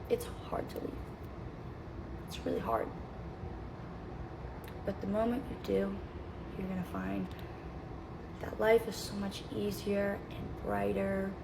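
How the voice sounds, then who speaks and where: A woman speaking in an earnest, halting way in a personal video message, often repeating herself. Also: mid-range voice, gravelly, background noise. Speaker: social media poster.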